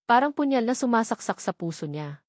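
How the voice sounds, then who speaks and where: A woman's voice: on the high side, sing-song, brisk, no echo, clean studio sound. A woman reading a scripted, dramatic love story as its storyteller. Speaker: storyteller narrator.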